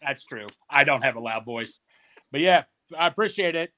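A man's voice: mid-range voice, animated, moderate pace, sharp tone, slight background noise. Laid-back man calling in. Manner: easygoing, laid-back chatter. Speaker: caller on a stream. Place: family house basement.

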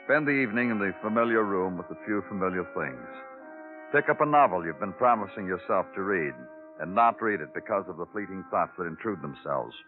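An actor playing a world-weary police detective in an old radio noir drama, clipped and sardonic. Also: male voice, deep voice. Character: weary, hard-boiled male police detective. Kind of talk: 1950s radio noir narration and acting. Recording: narrow-band old radio, faint music behind.

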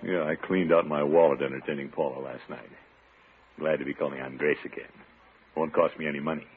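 A man's voice: very deep voice, steady tone, brisk. An American actor playing a flustered, penny-pinching middle-aged bachelor in a 1950s radio comedy, hemming and sputtering as he goes. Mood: smug, relieved. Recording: narrow-band old radio recording, faint noise.

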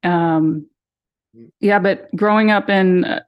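A woman in her forties, raised in Massachusetts with her Boston accent mostly gone, recounting her family in a relaxed interview. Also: medium pitch, takes it slowly, smooth, dull audio. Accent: General American, Boston accent mostly gone. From Massachusetts, USA. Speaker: interview guest, sister of missing woman. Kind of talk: casual interview conversation.

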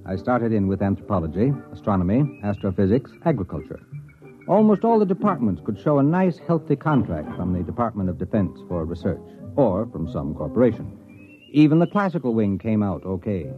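An actor playing a harried, glib university president in a 1950s radio comedy drama, with a dry, wry delivery. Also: male voice, lowish voice, very animated, a little fast, soft background music. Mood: wry, narrating. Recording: tinny, band-limited old radio broadcast.

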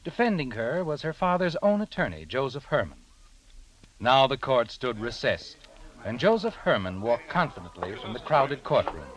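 A man's voice: mid-range voice, very animated, normal speed, fairly bright tone, poor audio. An actor playing a sinister, all-knowing male mystery narrator, ominous and taunting in old radio style. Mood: grave narration. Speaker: story narrator. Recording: old radio recording with music behind.

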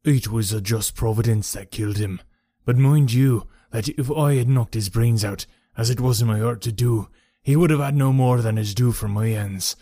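Man doing gruff old soldier, bitter, hunched and impassioned, storybook reading, dry close sound. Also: medium pitch, mellow, no echo, lightly acted. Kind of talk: audiobook reading.

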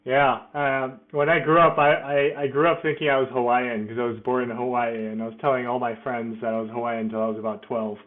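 A man's voice: mid-range voice, flat delivery, brisk, few pauses, phone-quality sound. A middle-aged man speaking as an interview guest, self-deprecating and often restarting his sentences. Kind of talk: casual interview.

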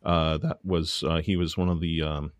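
An American man hosting a casual comedy interview, feeling his way haltingly through rambling questions. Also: extremely low pitch, level tone, dry recording, clean studio sound. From United States. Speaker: show host, interviewer.

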